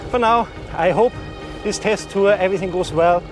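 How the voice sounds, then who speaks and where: Excited Austrian man talking outdoors. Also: high-pitched, moderate pace. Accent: Austrian German. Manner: excited, chatty, talking while out cycling. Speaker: travel vlogger. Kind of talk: casual on-the-road vlog talk. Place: outdoors along a river bike path. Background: loud music.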